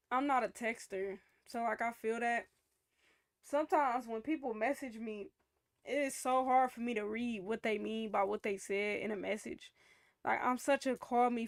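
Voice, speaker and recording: Chatty young woman reacting and reflecting. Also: a little high. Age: young adult. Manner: reflective, chatty, faith-minded. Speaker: reaction streamer. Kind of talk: casual reaction commentary.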